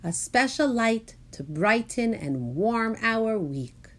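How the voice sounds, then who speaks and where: A woman reads as a gentle mother in a picture book. The delivery is slow and reassuring, with expressive rises and falls. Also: fairly high pitch, pitched above the performer's own voice.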